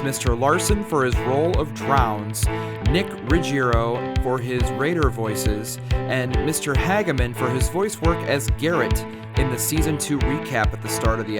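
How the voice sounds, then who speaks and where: A woman reading scripted credits as an announcer. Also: very deep voice. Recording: loud guitar music underneath. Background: guitar music.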